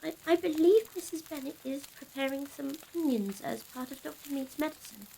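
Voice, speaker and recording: A woman plays a timid, well-bred daughter in a period audio drama. Her high voice has a creaky edge and she speaks politely and hesitantly. There is faint crackle behind her. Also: moderate pace, warm tone. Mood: dutiful, hesitant. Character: dutiful, timid young gentleman's daughter.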